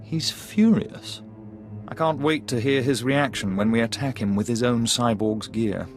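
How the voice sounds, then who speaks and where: An actor playing a refined, coldly calculating Imperial lieutenant in a video game, precise and smug in delivery. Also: male voice, medium pitch, unhurried, lightly acted. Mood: gleeful, scheming. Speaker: military officer character. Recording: loud music behind the voice. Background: music.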